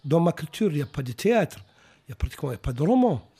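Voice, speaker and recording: A Mauritanian novelist, whose first language is Arabic, answers interview questions in a thoughtful way, often pausing and restarting his sentences. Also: a little high, big pitch swings, warm tone, slightly rough. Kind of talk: reflective radio interview answers.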